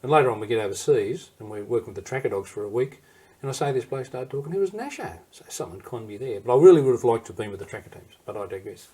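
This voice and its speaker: A man's voice: medium pitch, lively intonation, fast talker, warm tone, slightly rough. An older man with an Australian accent telling war memories in an interview, in a rambling, anecdotal way that wanders off and circles back. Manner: rambling anecdotal, digresses and restarts. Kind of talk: recorded interview storytelling.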